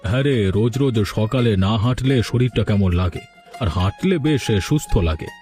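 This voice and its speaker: A man voicing a genial, nostalgic ageing village gentleman in a cartoon story. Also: mid-range voice, lively intonation, brisk, soft background music, natural voice. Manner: reminiscing, genial.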